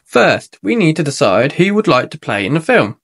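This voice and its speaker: A gentle female gazelle teacher from a children's storybook who encourages her class. A man voices her while reading aloud. Her voice sits a little higher than his usual one. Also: big pitch swings, moderate pace, creaky, slight background noise.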